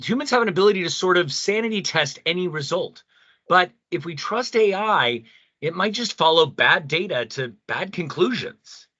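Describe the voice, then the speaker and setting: Actor as forceful, high-voiced devout male believer. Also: lively intonation, normal speed, no echo, highs cut off, heavily acted. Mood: earnest objection. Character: indignant, devout spiritual believer debating against AI. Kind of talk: audio play debate.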